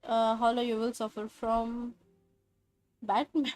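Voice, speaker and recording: A woman's voice: on the high side, flat delivery, slow pace, a little mellow, dry recording, rough recording. A woman with an Indian accent giving casual game livestream commentary, slangy, teasing and joking as she reacts.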